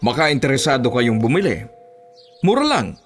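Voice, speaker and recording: A man's voice: mid-range voice, very animated, normal speed, breathy edge, soft background music. A man playing an affable, confident monster slayer and blade seller in a horror audio drama. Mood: friendly.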